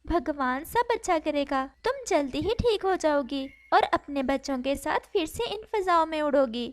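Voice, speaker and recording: Lady doing a caring mama sparrow for a kids cartoon, high voice, gentle n earnest. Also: lively intonation, normal speed. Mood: comforting. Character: kind, caring female sparrow who adopts orphaned chicks. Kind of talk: Hindi cartoon story acting.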